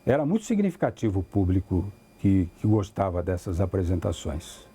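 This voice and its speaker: A man's voice: deep voice, very animated, moderate pace, warm tone, soft background music. A man with a Brazilian accent reminiscing in an interview, choosing formal, articulate wording and often adding little tag questions. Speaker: documentary interviewee.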